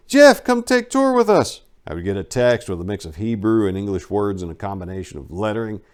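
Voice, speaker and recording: A man giving an expressive dramatic reading of a first-person memoir, with emphatic swings in loudness. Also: lowish voice. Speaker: narrator.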